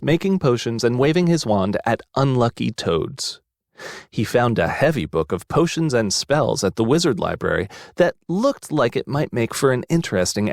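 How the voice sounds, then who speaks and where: Animated male children's storyteller. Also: mid-range voice, dry recording, studio quality. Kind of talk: scripted children's story narration.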